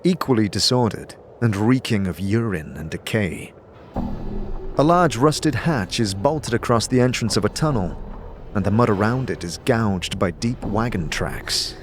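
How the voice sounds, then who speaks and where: A man narrating a fantasy audio drama in the second person, with deliberate, theatrical storytelling. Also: on the low side, expressive, a little hiss or hum. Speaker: narrator and game master. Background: music bed.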